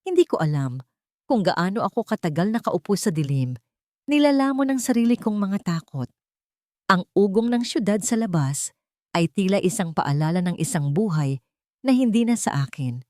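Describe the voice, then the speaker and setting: Low-voiced woman narrating a drama. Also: very animated, moderate pace, warm tone, dry recording, clean studio sound. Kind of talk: scripted first-person dramatic story narration.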